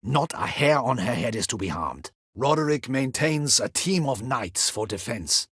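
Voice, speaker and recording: An actor playing a stern, proud, dutiful captain of a ducal guard in a fantasy video game, with a formal, clipped, haughty delivery and a husky voice. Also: male voice, medium pitch, sing-song, normal speed, fairly bright tone, no echo. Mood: grave, formal.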